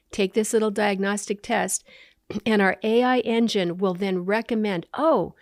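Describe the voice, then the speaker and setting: A woman's voice: mid-range voice, a little mellow, no room sound, clean studio sound. A middle-aged woman, a company founder, answering interview questions in an upbeat, explanatory way, often listing examples. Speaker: company founder and CEO, guest.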